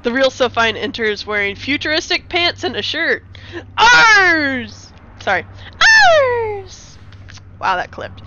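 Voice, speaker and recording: A woman's voice: a little high, expressive, a little fast, hardly any pauses, lo-fi sound, noisy background. A woman streamer giving a comic dramatic reading of a screenplay with casual, giggly asides. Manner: giggly, self-interrupting.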